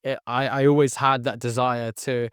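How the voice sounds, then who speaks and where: A young man, a podcast guest whose first language was Tagalog, tells his story earnestly and in repeated phrases, checking in with "okay". His voice is smooth and clear at a mid pitch. He is recorded dry and close. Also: level tone, a little slow, no room sound. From born near London, raised in the Philippines. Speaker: guest, sales entrepreneur. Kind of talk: podcast interview.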